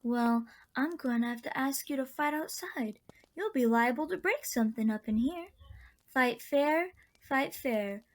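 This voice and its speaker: An actress playing a kindly, whimsical old auntie in a radio drama, gentle and wryly indulgent. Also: female voice, sits high, expressive, measured pace. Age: older.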